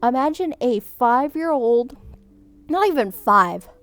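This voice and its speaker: Young woman w a highish voice, vietnamese first language, reading fan submissions, laughs a lot and keeps cutting herself off. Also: slow pace, soft background music. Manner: animated, laughs often, trails off and restarts. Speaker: streamer reading viewer submissions. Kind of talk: casual chat.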